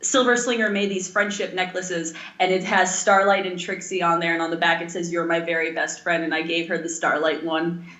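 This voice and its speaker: In a roomy, echoing space, a young woman with a bright, forward voice talks casually as a podcast co-host. She rambles enthusiastically, in the loose style of a chat among friends. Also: on the low side, flat delivery, a little fast.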